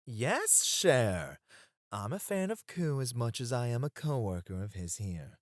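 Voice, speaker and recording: Man playing a slow-talking, flirty club host. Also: mid-range voice, sing-song, no echo, studio quality. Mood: flirty, teasing. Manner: suave, coaxing, playful. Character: flirty, doting male club host, cheekily possessive. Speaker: voice actor. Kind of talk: flirty ASMR roleplay.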